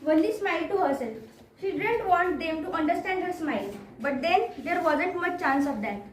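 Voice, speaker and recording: Very high-pitched Indian woman narrating, echoey. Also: animated, normal speed. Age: young adult. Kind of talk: scripted storytelling narration. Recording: very echoey noisy room, distant mic.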